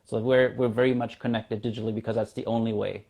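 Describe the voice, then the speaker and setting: A man's voice: lowish voice, flat delivery, fast talker, creaky, darkish tone. A man in his thirties from Germany, a native German speaker, chatting casually as an interview guest. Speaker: interview guest, community founder. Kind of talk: casual video-call conversation.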